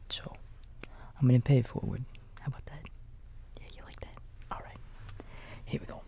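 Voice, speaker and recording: A man playing a kindly raccoon babysitter in a bedtime ASMR roleplay, whispering softly and gently reassuring a small child in a folksy, halting way. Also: medium pitch, lightly acted. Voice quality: soft, breathy whisper. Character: kindly big-brotherly male raccoon babysitter.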